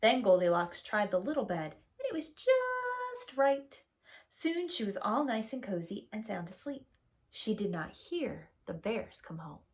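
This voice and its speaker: A woman reading a storybook aloud to young children as a preschool teacher, with expressive, emphatic storytelling. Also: on the high side, measured pace.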